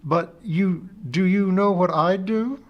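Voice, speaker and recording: A man doing a brisk, kindly woman friend. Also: sits high, lo-fi sound, pitched above the performer's own voice. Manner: brisk and affectionate.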